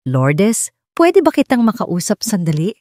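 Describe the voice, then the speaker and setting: A woman with a Filipino accent voicing a remorseful wealthy father, gentle and earnest, in an audio drama. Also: mid-range voice, big pitch swings, measured pace, no echo, studio quality, voice pushed up. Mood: remorseful, emotional.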